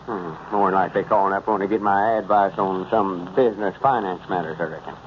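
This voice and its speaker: An actor playing a self-important, easily indignant old country philosopher in 1930s radio comedy, with a Southern American accent and rustic dialect. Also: male voice, low voice, fairly even intonation, brisk, close to an everyday voice. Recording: muffled, noisy old radio broadcast.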